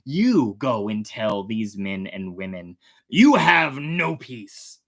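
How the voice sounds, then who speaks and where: A storyteller voicing a stern, wrathful God who solemnly condemns fallen angels in slow scriptural declamation. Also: mid-range voice, very animated. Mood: stern reproach.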